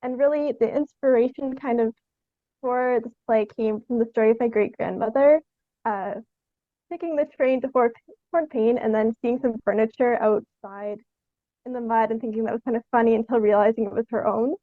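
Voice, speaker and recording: A woman's voice: on the high side, moderate pace, dry recording, phone-quality sound. A young adult woman chatting informally as a theatre artist in an interview, with a giggly manner. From Canada. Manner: informal, often giggling mid-sentence. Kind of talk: casual interview chat.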